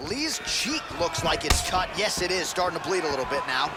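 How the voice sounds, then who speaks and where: A man voicing an excitable play-by-play fight announcer in a video game, making emphatic calls. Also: fairly high pitch, brisk, loud music behind the voice. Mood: excited, emphatic. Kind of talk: live sports commentary in a video game. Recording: loud arena noise over the voice. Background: noisy fight arena game sounds.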